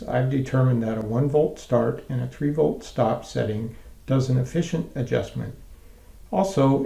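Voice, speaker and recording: A man narrating a scripted software tutorial in a measured, methodical, step-by-step way. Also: mid-range voice, gravelly, echoey room.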